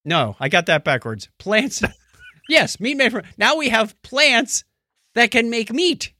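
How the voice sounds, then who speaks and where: A man's voice: extremely high pitch, sing-song, measured pace, bright, forceful tone. A man co-hosting a casual podcast about news stories, chatting in a joking manner.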